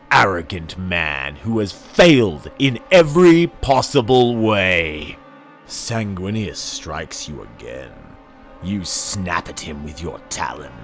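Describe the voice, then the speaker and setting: Guy reading epic story stuff real slow and deep, grave and theatrical, bit of music behind. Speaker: narrator reading a novel excerpt. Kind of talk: dramatic scripted narration in second person. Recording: dramatic music under the voice. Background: cinematic soundtrack music.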